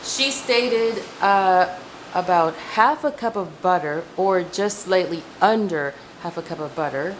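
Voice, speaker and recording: A woman's voice: lowish voice, measured pace, noisy background. A woman from Ecuador whose first language is Spanish, chatting casually and rambling as she sets out ingredients in her home kitchen, often correcting herself. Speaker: home cooking vlogger. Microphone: camera mic, speaker off screen.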